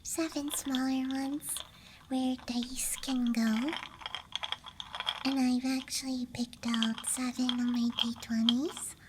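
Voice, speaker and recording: A woman whispering softly and breathily in a rambling, hesitant ASMR show-and-tell as a friendly dice goblin, close to the microphone. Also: high-pitched, level tone, slow, noisy background. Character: friendly dice goblin hoarding her treasures. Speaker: ASMR performer. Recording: close mic, audible ambient night sounds.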